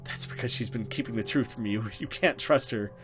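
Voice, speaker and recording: A man acting a haunted, halting mysterious woman. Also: mid-range voice, a bit bright, soft background music, voice pushed down, lightly acted. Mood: grave, accusing. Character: mysterious frightened young woman, escaped captive, urgent and uneasy.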